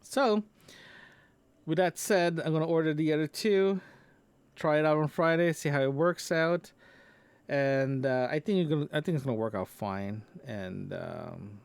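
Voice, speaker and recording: A man hosting his own solo audio log podcast, talking casually with frequent restarts and filler words as he thinks out loud. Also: fairly high pitch, measured pace, gravelly, smooth, no room sound. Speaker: podcast host. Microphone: dynamic microphone into portable recorder.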